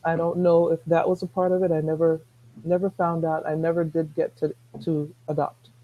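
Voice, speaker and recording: A middle-aged woman with a soft-spoken voice, talking earnestly as a guest on a video call, rambling with restarts. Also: deep voice, level tone, moderate pace, phone-quality sound. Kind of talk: casual chat.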